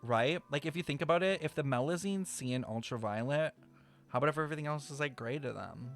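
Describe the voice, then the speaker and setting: A man's voice: on the high side, fast talker. A man giving casual, exclamatory reaction commentary as a game streamer. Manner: expressive, exclamatory. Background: game music.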